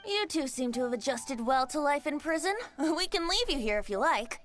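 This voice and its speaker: A woman's voice: high-pitched, lively intonation, moderate pace. A woman voicing a composed, scholarly and teasing young character in a video game, with articulate, formal phrasing. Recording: music behind the voice.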